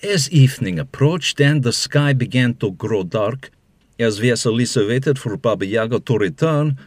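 A man acting as the measured storyteller of a fairy-tale audio drama, reading scripted narration. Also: medium pitch, expressive, no room sound, natural voice. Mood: narrating.

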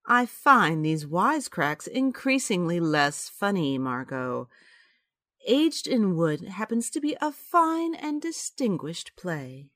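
An actress playing a frank, kindly and loyal playwright's wife in a radio drama, with an earnest, emphatic delivery. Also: female voice, lowish voice, very animated, slow, a bit bright, no echo, clean studio sound, exaggerated voice. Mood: exasperated, pleading. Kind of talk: radio drama acting and narration.

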